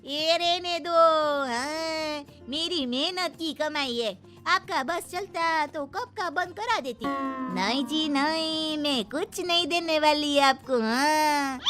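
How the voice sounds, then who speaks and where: A woman playing a cheerful, sharp-tongued young daughter-in-law with a bold, teasing manner in a cartoon story. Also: high-pitched, slow, soft background music. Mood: sassy, scolding. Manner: bold, sassy, teasing, with tag questions. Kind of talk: animated Hindi moral story acting.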